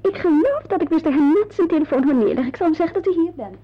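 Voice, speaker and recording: Officious, formal receptionist for radio play; very high, lively pitch, dull old recording. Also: female voice, racing. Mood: polite, placating. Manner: formal, questioning, echoes the caller's words. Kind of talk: radio drama acting.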